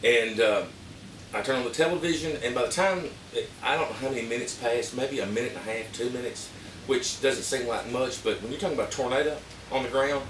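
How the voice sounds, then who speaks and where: A man with an Arkansas Southern accent giving a casual, plain-spoken, halting product review to camera. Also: medium pitch. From Arkansas, United States. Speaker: vlogger reviewing emergency gear. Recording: roomy, distant mic with background noise.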